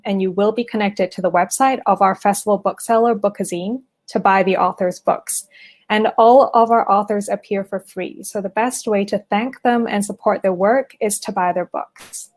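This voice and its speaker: Female event host, medium pitch, reading a prepared welcome and housekeeping notes. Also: moderate pace, a little mellow. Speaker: festival team member introducing an online event. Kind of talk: scripted event introduction.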